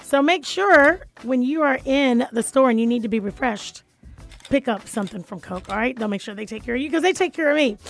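Raspy woman radio host in her thirties, fairly high voice, lively chatty delivery, studio mic. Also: fairly quick, faint music behind. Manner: lively, laughing banter. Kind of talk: talk radio hosting.

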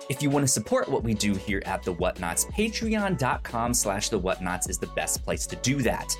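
Man hosting a casual podcast, music behind. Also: lowish voice. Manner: halting, searching delivery with repeated words. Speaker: podcast host.

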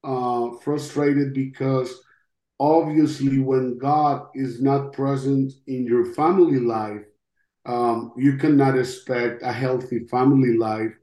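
A middle-aged man with a Costa Rican Spanish accent shares his testimony at a very unhurried pace, pausing often as he reflects. His voice is on the mellow side, and the room adds a noticeable echo. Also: mid-range voice, fairly even intonation. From Costa Rica. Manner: halting, reflective, with long pauses. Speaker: interview guest, podcast host. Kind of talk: personal testimony in an interview. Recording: roomy, echoing sound.

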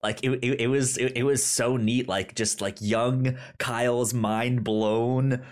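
A man's voice: medium pitch, steady tone. Stammering man hosting a chat show. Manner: halting, many repeated starts and stammers. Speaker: podcast host. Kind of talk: casual chat.

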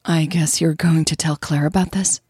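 Woman voicing a low, husky, thoughtful young woman. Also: steady tone, moderate pace, dark tone, no room sound, clean studio sound. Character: young woman, thoughtful, candid, quietly unsettled lover.

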